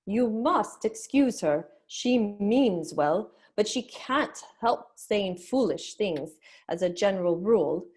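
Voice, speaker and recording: A woman's voice: mid-range voice, animated, slow pace, a little mellow, slightly rough. A woman playing a bossy, patronizing queen who talks primly in a dramatic reading of a children's story. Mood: condescending, soothing. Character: bossy, condescending Red Queen growing drowsy.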